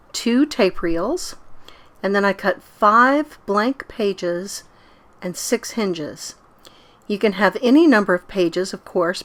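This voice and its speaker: A woman's voice: medium pitch, a bit bright. A middle-aged woman narrating a casual craft tutorial as its host.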